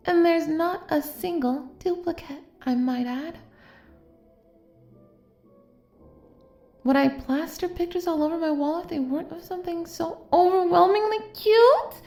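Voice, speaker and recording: A woman's voice: very high voice, darkish tone, reverberant. A woman playing an obsessive, doting young female kidnapper in an intimate roleplay audio, speaking straight to the listener in a cutesy, gushing, coaxing way. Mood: proud, adoring.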